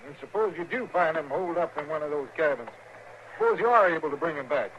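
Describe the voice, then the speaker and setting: An actor playing a cautious, exasperated frontier judge who argues and reasons pleadingly in a 1950s western radio drama. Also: male voice, a little high, animated, fast talker, a bit bright. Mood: exasperated, worried. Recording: muffled, noisy old radio recording.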